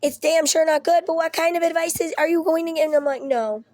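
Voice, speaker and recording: A woman's voice: very high-pitched, quick pace, darkish tone, no room sound. A young woman, ill and losing her voice, chatting casually to camera at home, rambling and swearing with laughing asides. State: ill with Covid. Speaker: vlogger.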